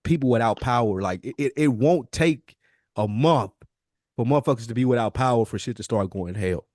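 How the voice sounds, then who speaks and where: A man's voice: medium pitch, a little fast, a little mellow, dry recording, clean studio sound. An American man in casual podcast chat, talking bluntly and emphatically with plenty of slang and swearing. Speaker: podcast co-host.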